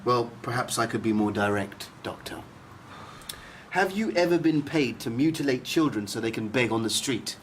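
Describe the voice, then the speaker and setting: An actor playing a polite but dogged documentary journalist with a halting manner, in a naturalistic radio drama. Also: male voice, medium pitch, animated, close to an everyday voice. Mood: probing, accusing. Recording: noisy background ambience.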